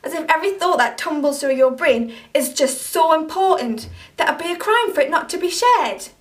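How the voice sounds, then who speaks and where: A young woman playing an angry young woman who confronts her ex in a sharp, cutting, indignant way, recorded from a distance. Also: high voice, lively intonation, moderate pace. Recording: distant camera mic.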